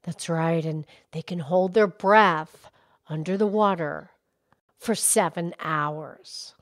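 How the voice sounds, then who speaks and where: A woman voicing a calm, knowledgeable grown-up cow puppet, speaking in a soft, measured, teacher-like way in a children's puppet show. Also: on the low side, dry recording, clean studio sound. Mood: calm, informative.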